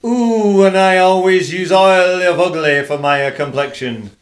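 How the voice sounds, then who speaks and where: A man's voice: high voice, a little slow. An amateur actor playing a cheeky, bumbling male outlaw in a comic radio play.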